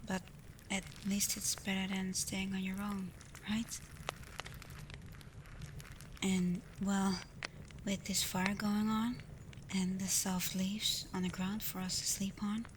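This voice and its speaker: A woman voicing a caring alpha werewolf in a soft, breathy ASMR roleplay, gentle and reassuring, confident but privately unsure. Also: medium pitch, close to an everyday voice. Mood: calm, reassuring. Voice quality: soft, breathy, often near a whisper. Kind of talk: ASMR roleplay, soft intimate talk to the listener. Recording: clear steady ambient noise.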